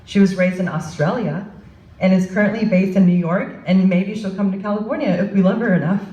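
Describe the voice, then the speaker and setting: A woman's voice: mid-range voice, fairly quick, dark tone, muffled recording. A woman introducing a guest speaker informally, with a hesitant, self-deprecating manner. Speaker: event introducer. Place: event hall with an audience. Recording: echoey room, faint background noise.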